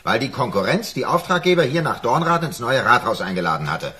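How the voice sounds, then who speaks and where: Ein Schauspieler spielt im Hörspiel den nüchternen, schroffen Chef einer angeschlagenen kleinen Firma. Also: male voice, mid-range voice, big pitch swings, a little fast, bright, forceful tone. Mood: grim, insistent. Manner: matter-of-fact, evasive, brusque. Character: unsentimental boss of a struggling small firm. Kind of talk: radio drama acting.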